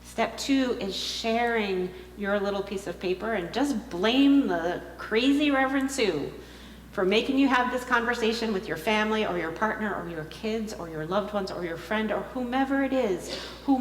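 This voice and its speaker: Woman preacher, mid-pitched, emphatic and pausing, direct appeal; reverberant hall recording with hum. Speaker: minister preaching. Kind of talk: sermon, direct personal appeal. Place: large indoor congregation hall.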